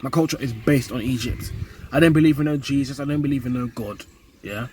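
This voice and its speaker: A man's voice: mid-range voice, fairly quick, darkish tone, a little hiss or hum. A young man with a London accent, talking to camera in a casual vlog, earnest, often repeating words as he finds them and ending points with a rising "yeah?". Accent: British, London. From United Kingdom. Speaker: vlogger. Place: home in Kenya.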